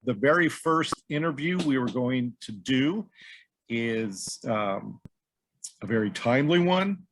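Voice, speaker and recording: A middle-aged man hosting a podcast, reading a scripted intro and then speaking haltingly with many ums and uhs. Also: medium pitch, expressive, a little hiss or hum, rough recording. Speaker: podcast host. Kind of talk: scripted intro, then hesitant interview talk.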